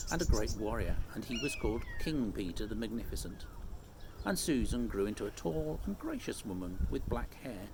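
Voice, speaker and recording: A man reading a children's story aloud expressively, phrase by measured phrase. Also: medium pitch. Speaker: storybook reader. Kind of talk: expressive scripted story narration. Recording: faint background noise.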